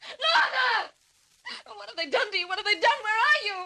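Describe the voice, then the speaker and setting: A woman's voice: extremely high pitch, lively intonation, brisk, pushed and bright, shouting, slight background noise, exaggerated voice. An actress playing a frantic, pleading young woman in an old-time radio drama, breathless and insistent, sometimes stammering. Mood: hysterical. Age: young adult. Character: frantic, pleading young woman seeking her missing sister.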